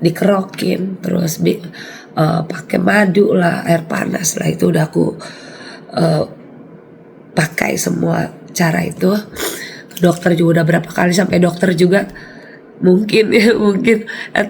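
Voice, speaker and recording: Hoarse, raspy woman in her forties. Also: fairly low pitch, slight background noise. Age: 40s. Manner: chatty, laughs often, slangy. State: hoarse from a cold. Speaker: vlogger. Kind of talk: casual chat to viewers.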